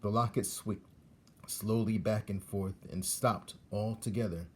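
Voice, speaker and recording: A man narrating a storybook aloud as a teacher would, with deliberate, dramatic phrasing. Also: fairly low pitch, steady tone, breathy edge.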